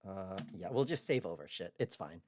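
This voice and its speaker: A man's voice: sits low, animated, normal speed, noisy background. A man hosting a livestream, chatting casually and informally with some swearing. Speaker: streamer and host.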